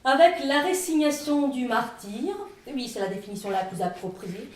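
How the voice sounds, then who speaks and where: Woman, Italian accent, high voice, expressive stage storytelling, distant echoey recording. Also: moderate pace, slight background noise. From northern Italy. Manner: dramatic, expressive delivery. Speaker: stage actress performing a one-woman show. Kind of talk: theatrical monologue, comic first-person storytelling. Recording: echoey room, distant microphone.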